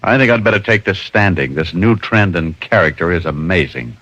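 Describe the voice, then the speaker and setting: A man's voice: sits low, animated, moderate pace, pushed and bright, no room sound, full character voice. A man playing a wisecracking, teasing private detective with glib, sardonic delivery in 1940s radio drama style. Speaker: lead actor. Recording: dull old radio recording.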